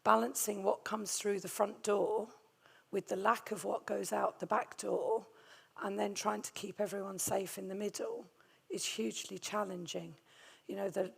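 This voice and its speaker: A middle-aged woman with a hoarse, gravelly voice from a vocal cord nodule, giving a conference talk on hospital infection control with many hesitant ums and a friendly, self-deprecating manner. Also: mid-range voice. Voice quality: gravelly, strained voice. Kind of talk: conference presentation.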